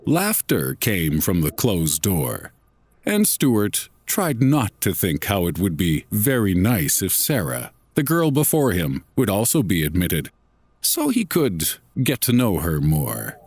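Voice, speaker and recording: A man reading a scripted horror story in a deliberate, dramatic, literary manner. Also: low voice, huge pitch swings, darkish tone, husky, no room sound. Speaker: narrator.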